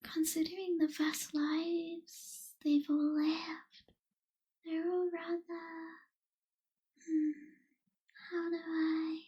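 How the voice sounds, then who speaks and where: Casting: actress for melancholy young female vampire, ASMR roleplay; very high, mellow, breathy whisper, wistful and hushed. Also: completely flat delivery, exaggerated voice. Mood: lonely, hesitant. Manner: slow, hushed, wistful, gentle pauses. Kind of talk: ASMR roleplay, soft whispered intimate delivery.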